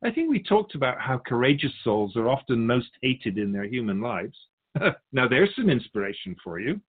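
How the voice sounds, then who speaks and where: Male spiritual teacher, mid-range pitch, measured delivery, assured and didactic, philosophical conversational style. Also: big pitch swings, husky, narrow, tinny audio. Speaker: spiritual guide answering questions.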